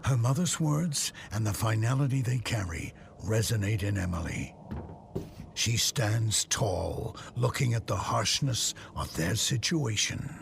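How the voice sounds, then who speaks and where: A man's voice: fairly low pitch, animated, unhurried, vocal fry, background noise, heavily acted. A man giving dramatic scripted narration for an audio drama.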